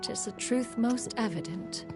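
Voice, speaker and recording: A woman's voice: fairly high pitch, measured pace, over music, natural voice. A woman voicing a dignified, aloof yet tender immortal crane mother in a video game, speaking in a formal, archaic, stately way. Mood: fond, nostalgic.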